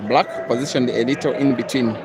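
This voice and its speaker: A man from East Africa giving live, measured commentary on a pool match, with sudden excited rises. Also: mid-range voice. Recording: loud crowd and noisy outdoor venue.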